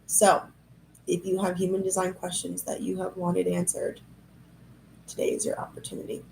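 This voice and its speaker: A young adult woman with a gravelly, creaky edge and a nasal, cold-stuffed voice hosts a casual livestream. She rambles cheerfully and amuses herself as she talks to her viewers from an apartment. There is a faint hiss of noise under her voice. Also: on the low side, completely flat delivery, normal speed, a little mellow, poor audio. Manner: chatty, rambling, self-amused. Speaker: podcast host. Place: high-rise apartment indoors.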